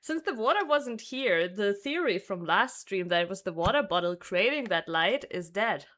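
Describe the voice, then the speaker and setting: A woman game streamer chatting casually while she plays, rambling and thinking out loud. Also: medium pitch, animated, normal speed. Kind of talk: casual livestream chat while playing. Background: game music.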